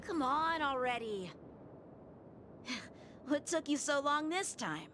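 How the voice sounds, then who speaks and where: Eine gereizte, junge Begleiterin. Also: female voice, sits high, expressive, slow pace. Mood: irritated. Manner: defensive and sharp-tongued. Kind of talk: video game voice acting. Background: game music.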